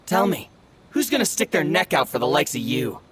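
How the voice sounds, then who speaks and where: A sneering bully. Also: male voice, a little high, very animated, fairly quick, background noise. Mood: taunting. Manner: taunting, contemptuous. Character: sneering young male thug, mocking bully. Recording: game audio with clear music behind.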